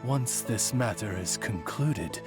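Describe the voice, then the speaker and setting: A man's voice: fairly low pitch, animated, slow pace, loud music behind the voice. A man playing a courtly, loyal familiar to a young lady in a video game, speaking in formal, eloquent, butler-like phrases. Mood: courtly, composed. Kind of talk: video game cutscene voice acting.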